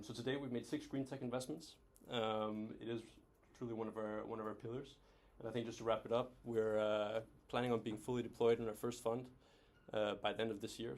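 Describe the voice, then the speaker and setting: A man in his thirties from Denmark, giving an informal, self-deprecating presentation as an executive and guest speaker, with hesitant phrasing. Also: fairly low pitch. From Denmark, raised across several countries. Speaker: venture capital COO, guest speaker.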